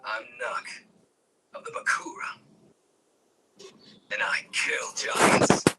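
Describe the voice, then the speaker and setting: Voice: medium pitch, wildly swooping pitch, slow pace, in a whisper, far from the mic, voice pushed down, low-quality recording. An amateur reader voicing a grim, proud male warrior who kills giants, for a fantasy script. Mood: grim menace.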